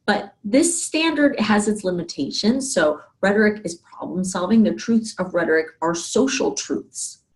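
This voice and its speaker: A woman's voice: medium pitch, animated, moderate pace, hardly any pauses. A woman lecturing in a conversational, explanatory way, thinking aloud and often checking in with rhetorical questions. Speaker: university lecturer.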